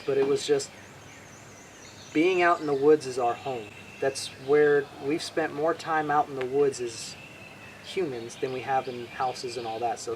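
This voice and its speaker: A man from Hawaii talks about his craft in a loose, meandering way. He restarts often and sounds at ease. The recording carries a faint outdoor hum of birds and nature. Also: medium pitch. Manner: relaxed, rambling with many restarts. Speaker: bushcraft instructor, guest. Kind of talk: casual interview chat.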